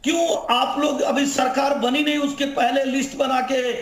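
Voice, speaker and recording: Man on a debate panel, voice way up high, accusing and repeating himself, echoey room. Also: flat delivery, normal speed, fairly bright tone. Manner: accusatory, repetitive oratory. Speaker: political spokesman, debate panelist. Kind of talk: heated television debate. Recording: roomy sound with faint noise.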